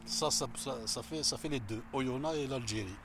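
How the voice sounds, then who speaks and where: A man's voice: medium pitch, normal speed. Guy explaining a song, kinda earnest, repeats himself a lot, guitar music under it. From Algeria. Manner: earnest, repeats himself and restarts, rising emphatic stresses. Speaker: interviewee. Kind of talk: reflective explanation.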